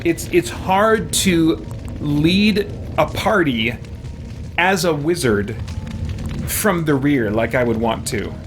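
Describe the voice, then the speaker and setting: A man's voice: on the high side, lively intonation, slow, pauses often, music in the background. A man giving casual, opinionated let's-play commentary as a gaming streamer, musing in a conversational way. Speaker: gaming streamer playing a role-playing game.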